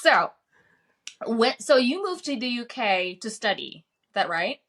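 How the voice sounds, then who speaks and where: A woman's voice: on the high side, big pitch swings, a little slow, a bit bright. A woman in her late thirties hosting a podcast, curious and teasing, with many listening noises. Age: 30s. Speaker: podcast host. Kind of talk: casual interview chat.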